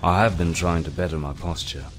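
An actor playing a sly, flippant rogue pirate, droll and wordy, in a video game. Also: male voice, sits low, fairly even intonation, fairly quick, slight background noise, lightly acted. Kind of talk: video game cutscene acting.

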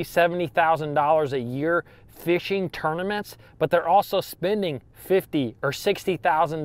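A man's voice: fairly high pitch, smooth. A man in his thirties from Ohio hosting a fishing channel, talking casually and upbeat to a handheld camera inside his truck. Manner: chatty and upbeat, lots of "you know" and "actually". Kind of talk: casual vlog talk to camera. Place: inside a parked pickup truck, later outdoors in a parking lot. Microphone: handheld camera at arm's length.

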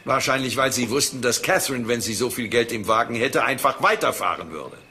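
Cool gentleman, noisy recording. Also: medium pitch, a little fast. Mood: cool, dismissive. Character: cool, dismissive gentleman questioned by a detective. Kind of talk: German crime radio drama acting.

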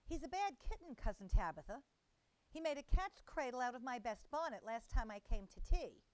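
A woman voicing a stern, sensible female cat neighbor who speaks brisk and firm. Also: a little high, very animated, a little mellow, dry recording, rough recording.

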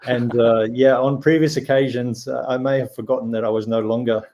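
A man answering on a video call panel, earnest and halting, chuckling at times. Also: medium pitch, fairly even intonation, dark tone, a little hiss or hum. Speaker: cyber security executive panelist. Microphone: video call webcam.

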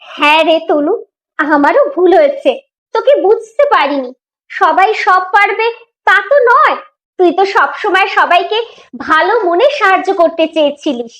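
A woman's voice: very high voice, lively intonation, normal speed, fairly bright tone. A woman voicing a fussy but caring village mother in a moral cartoon, homely and exclaiming. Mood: warm, approving. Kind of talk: Bengali moral cartoon dialogue.

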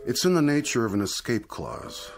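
An actor in a radio drama playing a suave devilish dealmaker, slyly courteous, formal and unctuous. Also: male voice, mid-range voice, sing-song, moderate pace, gravelly, music in the background. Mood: silky, explaining.

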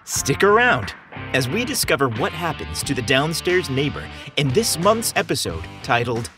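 A man hosting and narrating a scripted story podcast in a theatrical, expressive storytelling style. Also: medium pitch, moderate pace. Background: music behind the voice.

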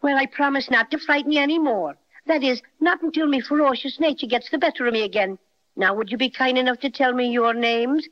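A tiny Irish teddy bear voiced by an actor from an old radio serial, with a very high cartoonish voice. He is chatty, folksy and proud of his growl. The recording is dull and lo-fi. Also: fairly quick, close to an everyday voice. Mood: friendly, chatty. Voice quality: high-pitched cartoonish character voice. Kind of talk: 1930s radio acting.

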